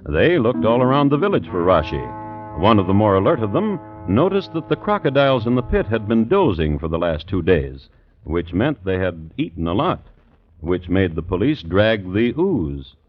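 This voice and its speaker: A man narrating a scripted 1950s radio drama as its host, with wry, measured storytelling. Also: fairly low pitch, big pitch swings. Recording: dull old radio recording with music. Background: music.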